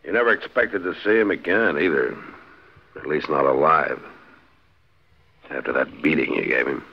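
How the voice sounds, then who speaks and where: Very deep, husky frontier marshal. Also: male voice, moderate pace, full character voice. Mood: menacing calm. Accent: American. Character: steady, authoritative frontier marshal, grown man. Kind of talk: 1950s radio western acting. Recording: muffled 1950s radio recording.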